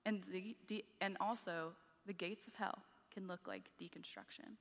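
A woman cohosting a live podcast, speaking in a measured way and affirming her guest often. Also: mid-range voice, fairly even intonation. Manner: measured, affirming, quick "yeah"s. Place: conference stage with hall. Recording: live hall reverb.

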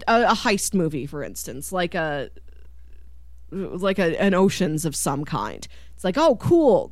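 A chatty woman with a mid-pitched voice, recorded dry and close. She talks casually as a podcast co-host, animated and quick to act out imagined reactions, often with laughter in her words. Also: no room sound. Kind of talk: casual film discussion.

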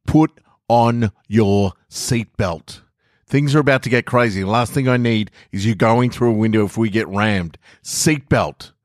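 A man voicing an unflappable, stubborn getaway driver in a story, firm and deadpan. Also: lowish voice, measured pace, no room sound, studio quality, voice pushed up, close to an everyday voice. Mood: insistent.

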